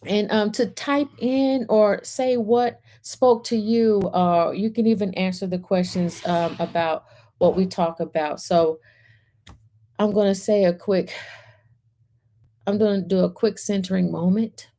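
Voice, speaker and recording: Woman, storytime host and reader. Soft-edged mellow tone, unhurried pace, measured phrases with pauses. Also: mid-range voice, steady tone. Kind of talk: gentle guided centering and picture book read-aloud.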